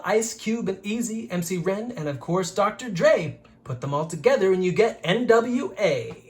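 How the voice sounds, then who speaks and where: Animated reader with lively, rhythmic delivery. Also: mid-range voice. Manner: rhythmic, expressive, playful delivery. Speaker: teacher and host reading a children's book aloud. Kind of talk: rhyming picture-book read-aloud.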